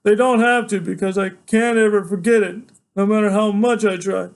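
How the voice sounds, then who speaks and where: A man's voice: sits high, fairly even intonation, normal speed, pitched above the performer's own voice. A man voicing a terrified, anguished boy in an audiobook, desperate and pleading as he confronts his father. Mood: shaken, urgent. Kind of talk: dramatic audiobook character acting.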